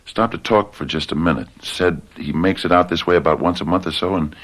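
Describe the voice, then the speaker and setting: An actor playing a weary, downtrodden farmhand husband in a radio drama, anxious and quick-tempered, halting and repeating himself. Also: male voice, low voice, a bit bright.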